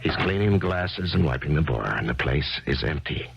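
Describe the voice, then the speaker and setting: Grieving failed author, halting, deep, dull old radio sound, music behind. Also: male voice, animated, vocal fry, full character voice. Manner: halting, hesitant speech with many pauses. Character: grieving failed male author, hesitant and searching. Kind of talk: 1970s radio drama acting with first-person narration. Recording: dull lo-fi 1970s radio recording with loud music.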